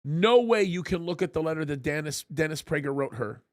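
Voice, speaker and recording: High-voiced man hosting, emphatic. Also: lively intonation, fairly quick, dry recording, studio quality. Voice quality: high-pitched voice. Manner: emphatic, repeats words when starting a point. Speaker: pastor and show host. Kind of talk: live political talk show discussion.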